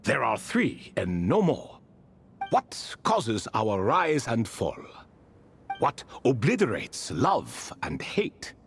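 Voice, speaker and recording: Slow, theatrical ancient spirit. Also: male voice, medium pitch, huge pitch swings, creaky, soft background music, full character voice. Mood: wry, courtly. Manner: theatrical, refined, leisurely storytelling. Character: ancient cat-borne spirit, proud, wistful, wry male retainer. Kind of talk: video game character dialogue.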